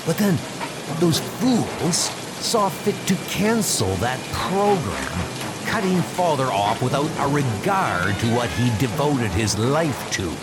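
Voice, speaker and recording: Colonel villain, acted by a man: bitter, pompous schemer, slow and swooping, loud music underneath. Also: mid-range voice, sing-song, rough recording. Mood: brooding, menacing. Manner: pompous, theatrical villain monologue. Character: Colonel Blimp, bitter scheming male villain seeking revenge. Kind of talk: comic dub acting.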